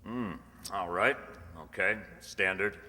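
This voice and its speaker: A man's voice: on the low side, slow, bright, forceful tone, heavily acted. A man playing a tough undercover police detective in an old-time radio crime drama, terse and hard-boiled. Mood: guarded. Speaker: actor and first-person narrator in a radio drama. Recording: echoey hall, music behind.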